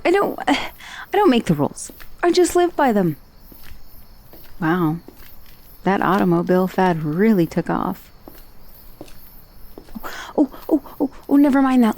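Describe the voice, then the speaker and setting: Woman acting a slow-talking, friendly undead dullahan rider. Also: fairly high pitch, darkish tone. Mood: relieved, wry, then impatient. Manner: casual, hesitant asides with sighs and murmurs. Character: chatty dullahan, a friendly magical severed head, centuries-old rider. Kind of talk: ASMR roleplay acting, addressing the listener.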